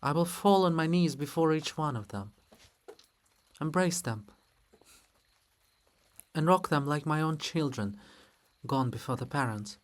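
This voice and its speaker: A man's voice: a little high, expressive. Man acting a solemn, proud, grieving princess. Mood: grieving, tender. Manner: solemn, measured, formal. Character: Princess Olha, stern, grieving, proud monument of a female ruler.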